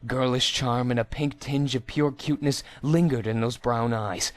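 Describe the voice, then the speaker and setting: A man's voice: mid-range voice, fairly even intonation, normal speed. A man reading a story aloud as scripted narration, in a theatrical manner. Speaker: amateur story reader.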